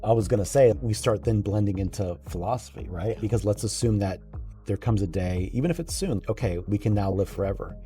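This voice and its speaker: Man, late forties, lowish voice, very mellow, casual conversation, reflective, informal, thinking aloud. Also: brisk, soft background music. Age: late 40s. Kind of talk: casual chat.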